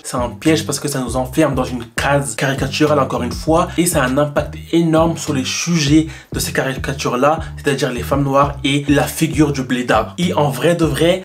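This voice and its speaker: A young man talking straight to his viewers in a casual, animated way, asking questions and pausing to think aloud. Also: a little high. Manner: animated, rhetorical, addresses viewers directly. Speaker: YouTuber. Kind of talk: casual talk to camera.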